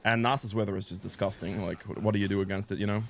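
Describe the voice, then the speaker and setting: A man's voice: on the low side, steady tone, very fast, a little hiss or hum. A man giving casual live commentary on a video game as he plays, thinking aloud and reacting as he goes, sniffly with a cold. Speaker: gameplay guide creator and player.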